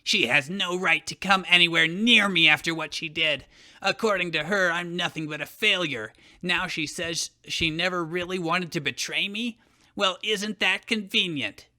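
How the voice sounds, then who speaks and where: A vengeful boy hero played by a man, pitched high with a very bright, cutting edge. He declaims with bitter indignation, as in an overdramatic fan fiction reading. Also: normal speed. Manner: dramatic, declaiming.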